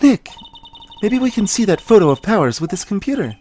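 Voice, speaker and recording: A man's voice: high voice, sing-song, a little fast, warm tone, voice pushed up. A man voicing a perky young female assistant in a video game, chatty, eager and teasing.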